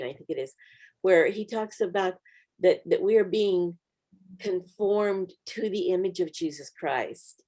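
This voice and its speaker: A woman's voice: lowish voice, warm tone. Earnest woman giving Bible study tips. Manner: earnest, encouraging, gives practical tips. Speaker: Bible study author and co-host. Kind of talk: casual teaching conversation.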